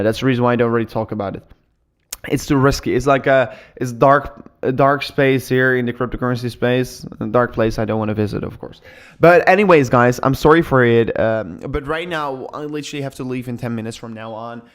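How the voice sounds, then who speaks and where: A young man hosting a live cryptocurrency stream in casual chat, speaking English as a learned second language, excitable and full of repeats and restarts. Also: mid-range voice. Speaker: crypto livestream host.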